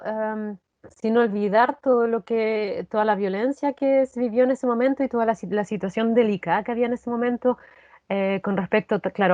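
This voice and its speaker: A woman with a Chilean accent answering in an interview, reflective and earnest, often halting and repeating phrases. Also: medium pitch, darkish tone, no echo. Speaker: actress, interview guest. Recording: dull, lo-fi sound.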